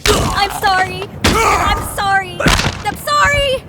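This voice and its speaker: A woman playing an excitable, squeamish novice fighter in an audio drama, high-strung and rambling. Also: slow, very noisy, full character voice. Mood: distraught.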